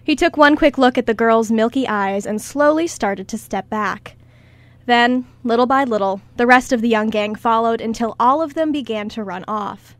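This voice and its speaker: A woman narrates a scripted story for a radio play. Her voice is a little high, and the sound is dry and close. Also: normal speed, no echo.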